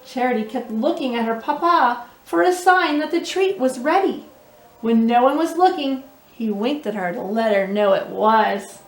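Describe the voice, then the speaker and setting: A woman's voice: on the high side, lively intonation, moderate pace. A woman telling and reading a children's story expressively, often putting questions to her young listeners. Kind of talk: children's read-aloud storytelling. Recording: roomy, echoey indoor sound.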